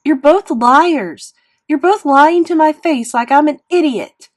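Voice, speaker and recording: A woman reader plays a young boy in a storybook drama, hurt and quick to anger. The voice lifts well above a normal speaking pitch and pushes out accusations in loud outbursts. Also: animated, dry recording, studio quality. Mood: furious. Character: angry, hurt young boy, shrieking at his family.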